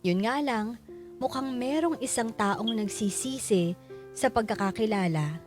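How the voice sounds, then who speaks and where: A woman's voice: fairly high pitch, a little slow, over music, close to an everyday voice. An actress playing a remorseful wife who narrates her own story in a Filipino radio drama, reflective and confessional.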